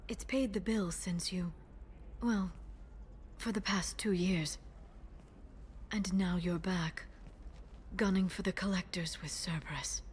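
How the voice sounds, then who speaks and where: A woman playing a guarded, earnest alien information broker in a video game, speaking in a measured way. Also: fairly low pitch, fairly even intonation, slight background noise. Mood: soft, relieved. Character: guarded alien female information broker, earnest, quietly menacing when crossed. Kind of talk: video game dialogue acting.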